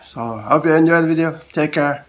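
A man in his forties with a cold, chatting casually in a low-key, halting way as he hosts a hobby unboxing vlog. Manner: halting, low-key, short clipped phrases. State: has a cold. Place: small room at home, indoors, on a couch. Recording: clear background noise, handling clicks.

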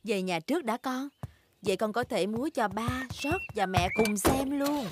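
A woman playing a caring, gently firm mother pig in a dubbed children's cartoon. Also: a little high, moderate pace, close to an everyday voice. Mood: motherly. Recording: loud music behind the voice.

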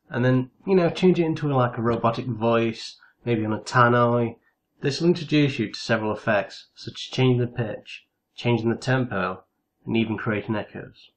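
A man with a medium-pitched voice narrates a screen-recorded tutorial. He talks casually and instructs one step at a time, as the host of the lesson.

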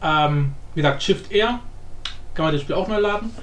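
A man presenting a software tutorial casually, with informal, jokey asides and frequent self-interruptions. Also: fairly high pitch, normal speed. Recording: roomy echo, faint background noise.

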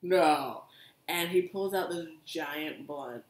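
A young woman, co-hosting a podcast and telling stories in an animated, casual way. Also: low voice, takes it slowly, a little hiss or hum. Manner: animated storytelling, many asides. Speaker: podcast co-host. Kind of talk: casual chat. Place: living room couch, indoors. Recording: echoey room.

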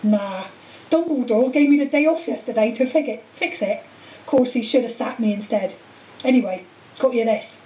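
A woman voicing a huge, gruff, tender-hearted man with blunt rustic speech and emotional, drawn-out delivery. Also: a little high, warm tone, natural voice. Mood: recovering, sheepish. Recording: hissy, roomy, distant microphone.

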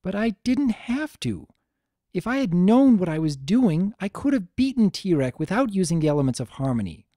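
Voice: medium pitch, very animated, moderate pace, mellow, no echo, clean studio sound, pitched above the performer's own voice, natural voice. A narrator voicing an earnest, self-doubting young female pony princess with a pleading manner. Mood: distressed, guilty.

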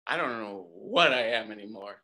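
A man's voice: fairly low pitch, lively intonation, normal speed, bright, forceful tone. An actor playing a mopey, defensive young man who fancies himself a chef, in a table read. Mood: lost. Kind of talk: casual dramatic table read. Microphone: video call.

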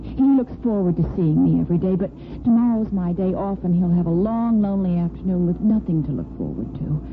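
An actress playing a caring, emotional hospital nursing superintendent in a 1950s radio soap opera, earnest and hesitant. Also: female voice, fairly low pitch, expressive, a little fast. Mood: hopeful, hesitant. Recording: tinny, noisy old radio broadcast.

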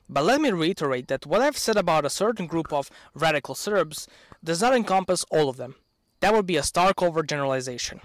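A young man speaks in a fairly high, light voice into a handheld mic out in an open field. His delivery is earnest and measured, slipping into dry, sardonic asides. The sound is close and dry, with no room around it. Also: no echo. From Bosnia and Herzegovina. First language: Serbian. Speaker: channel owner. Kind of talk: prepared opinion speech. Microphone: handheld microphone.

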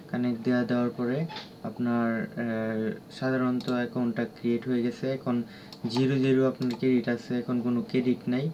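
A man with a Bangladeshi accent giving an unscripted app tutorial in a halting, repetitive, instructional way. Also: medium pitch. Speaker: tutorial presenter. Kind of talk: unscripted screen-recorded app walkthrough. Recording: dull lo-fi audio with clear background noise.

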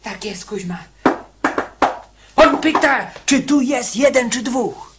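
An actor in a radio play voicing a timid, frightened condemned convict, hesitant and anxiously repeating words. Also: male voice, extremely high pitch, lively intonation, slow, heavy background noise, full character voice. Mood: uneasy.

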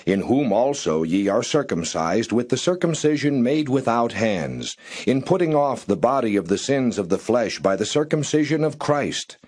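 A man giving a plain, measured reading of scripture, phrase by phrase. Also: medium pitch, a little mellow, breathy edge. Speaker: scripture reader.

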